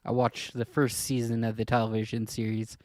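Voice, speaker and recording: A man co-hosting a podcast in casual discussion, speaking hesitantly in halting, trailing clauses. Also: mid-range voice, monotone, a little mellow, dry recording, clean studio sound. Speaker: podcast co-host.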